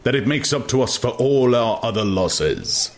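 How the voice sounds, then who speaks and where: Guy doing a calm learned ruler for an audiobook, deep voice, deliberate and speechy, very persuasive. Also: faint music behind, lightly acted. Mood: solemn, reading aloud. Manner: deliberate, oratorical phrasing. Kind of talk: dramatized audiobook reading.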